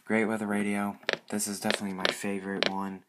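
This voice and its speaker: A man's voice: fairly low pitch, little pitch movement, fast, heavy background noise. A man casually showing off a collection as a hobbyist, rambling and repeating short phrases of praise. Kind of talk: casual product show-and-tell.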